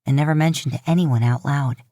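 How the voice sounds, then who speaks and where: A woman's voice: extremely low pitch, a little fast, dark tone, no echo, clean studio sound. A woman reading scripted fan fiction aloud as a story narrator. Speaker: podfic narrator.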